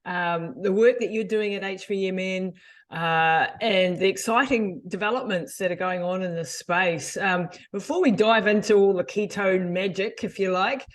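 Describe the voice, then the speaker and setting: A woman's voice: medium pitch, normal speed. A woman hosting a podcast interview, speaking in an enthusiastic, effusive way. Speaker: podcast host.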